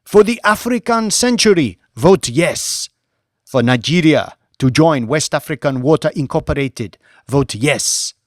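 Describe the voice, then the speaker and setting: A man's voice: a little high, animated, unhurried, no echo, clean studio sound, pitched above the performer's own voice. A man voicing a fervent female African protest leader giving a declamatory rally speech in an audiobook. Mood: rousing, insistent. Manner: declamatory, exclamatory.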